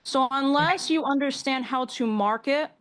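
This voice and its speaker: A woman's voice: sits high, unhurried, fairly bright tone. An American woman in her twenties answering as an activist guest in a conversational interview, emphatic and repeating phrases for stress. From New York State, US. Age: 20s. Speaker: activist guest, community organizer.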